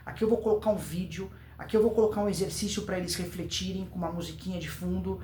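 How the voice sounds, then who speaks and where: A Brazilian man with a notably high voice teaches like a coach in an informal video. He speaks emphatically, repeating key words and posing questions that he answers himself. The room adds a clear echo to his voice. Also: fairly even intonation, fast talker, mellow, slight background noise. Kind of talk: informal instructional video to camera. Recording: roomy, echoing voice recording.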